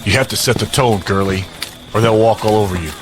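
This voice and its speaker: Male actor for brutal, cocky fighter who runs things. Deep-set voice, gruff authority, smug menace, audio drama. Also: fast, close to an everyday voice. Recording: music behind. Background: music.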